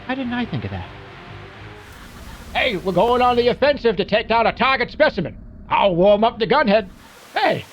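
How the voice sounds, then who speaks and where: A man's voice: extremely high pitch, fairly bright tone, a little hiss or hum, exaggerated voice. A man playing a wisecracking, gun-loving mech mechanic in a video game, with folksy, slangy talk. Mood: wry. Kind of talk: video game character voice lines.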